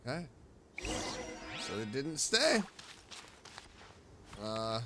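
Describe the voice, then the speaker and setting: A man's voice: medium pitch, big pitch swings, drawn-out words, background noise. A man streaming a video game with casual, self-directed, exclamatory commentary. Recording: game music behind.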